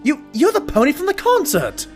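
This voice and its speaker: A man playing an earnest, fussy pony sidekick and chronicler in an audio drama, excitable and easily exasperated. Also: very high voice, moderate pace, faint music behind, exaggerated voice. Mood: surprised. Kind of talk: scripted audio drama acting and narration.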